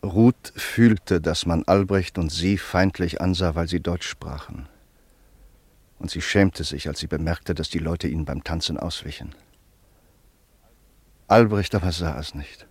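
A low-voiced man narrates a radio drama in a calm, measured voice. The recording is dry and close, with no room sound. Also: breathy edge, no echo. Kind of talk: scripted radio play narration.

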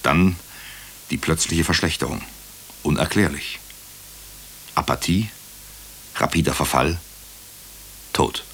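An actor playing a brooding hospital doctor who narrates a radio crime drama in clipped, deliberate phrases. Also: male voice, a bass voice, a bit bright, slight background noise, heavily acted. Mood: grave, measured. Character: brooding male hospital doctor recounting a murder case. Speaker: actor narrating as the lead character.

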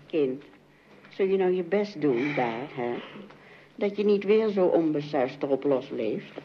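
A woman's voice: low-pitched, measured pace. An actress playing a caring, mildly worrying middle-aged mother in a Dutch radio play. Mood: worried. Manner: expressive, gently admonishing. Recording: muffled radio recording, faint noise.

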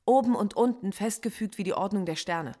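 A woman's voice: mid-range voice, fairly quick, dark tone, natural voice. An actress playing a defiant female philosophy professor in a radio play, articulate and lecturing. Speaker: philosophy professor under interrogation.

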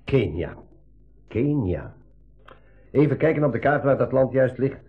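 A man's voice: mid-range voice, big pitch swings, unhurried, faint music behind. A man playing a plain-spoken, alert travel companion in a 1980s school radio play. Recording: thin phone-like radio recording.

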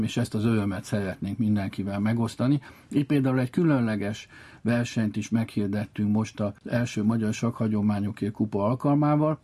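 A man giving measured, formal explanations in an interview, in long wordy sentences. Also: fairly low pitch, warm tone, breathy edge. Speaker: interviewed chess organiser.

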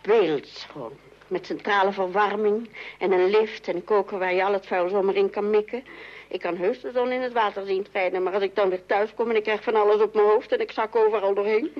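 A woman's voice: medium pitch, fairly even intonation, fairly quick, lightly acted. An actress playing a put-upon, complaining mother in an old-fashioned radio comedy, plaintive and dramatic. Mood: wistful, aggrieved. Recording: dull, band-limited old radio recording.

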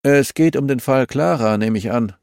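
A man's voice: medium pitch, normal speed, a little mellow, no echo, studio quality, voice pushed up, natural voice. A man voicing a stern older male judge in an audiobook, brusque, formal, skeptical and unyielding. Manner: formal, curt, officious.

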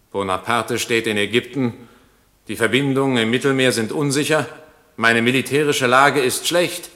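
An actor playing a haughty, cynical French commander in a radio play, curt, dismissive and authoritative. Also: male voice, mid-range voice, sharp tone, natural voice.

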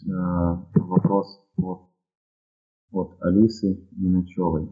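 A man from Ukraine answers listener questions on a live radio broadcast. His voice sits very low and comes through a narrow, phone-like line. He talks in a measured way, pausing often with fillers. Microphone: headphones with headset audio.

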